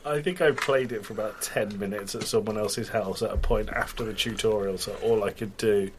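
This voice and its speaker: A man's voice: on the low side, steady tone, brisk, a little hiss or hum. A man chatting in a jokey, playful manner as a podcast co-host. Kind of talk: casual joking chat.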